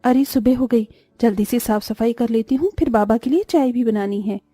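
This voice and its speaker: A woman voicing a poor, dutiful and caring young elder daughter in a cartoon, earnest and gentle. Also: fairly high pitch, moderate pace, no echo, lightly acted. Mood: busy. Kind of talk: Hindi moral-story cartoon voice acting.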